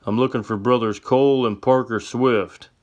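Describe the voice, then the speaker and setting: A man voicing a reformed gunslinger who speaks calmly and steadily. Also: moderate pace, dull audio, lightly acted. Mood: steady. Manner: calm, steady, low-key. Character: deep-voiced reformed male gunslinger.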